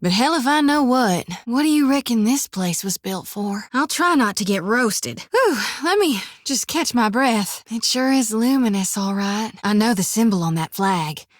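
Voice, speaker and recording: A drawling lantern priestess, earnest and wry, dry studio read. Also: female voice, fairly high pitch, animated, normal speed, hardly any pauses, dry recording. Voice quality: folksy drawl, full of "reckon" and "ain't". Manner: plainspoken, earnest, sometimes wry. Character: folksy young woman priest carrying a soul lantern. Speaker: game companion character. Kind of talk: video game voice lines. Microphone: close studio mic.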